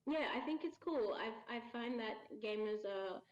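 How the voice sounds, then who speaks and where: A woman talking as a guest in a casual interview, chatty and quick to laugh. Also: on the high side, lo-fi sound. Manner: chatty, laughs often, lively. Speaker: guest voice actor.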